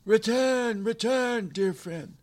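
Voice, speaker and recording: A man's voice: fairly low pitch, animated, moderate pace, husky, pitched above the performer's own voice. A man voicing a tiny shriveled demon from a storybook, pompous, desperate and spiteful, with grandiose theatrical pleading and cursing. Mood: desperate shouting. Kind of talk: storybook character voice.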